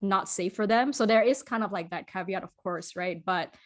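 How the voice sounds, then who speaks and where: A woman who grew up in Singapore speaks as a podcast guest in casual conversation. She works through her ideas aloud, stopping and restarting often. Small laughs run through her talk. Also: medium pitch. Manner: thoughtful, halting, many restarts and fillers, laughs often.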